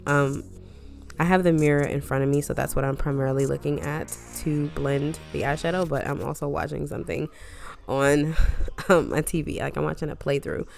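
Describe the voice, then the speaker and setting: A woman with a deep, low voice talks through a makeup tutorial in a loose, rambling way. She sounds congested and a little scratchy from a lingering cold. Music plays clearly under her voice. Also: fairly even intonation, moderate pace, darkish tone. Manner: chatty, rambling asides, frequent "um" and "like". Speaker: beauty vlogger. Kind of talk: casual makeup tutorial chat.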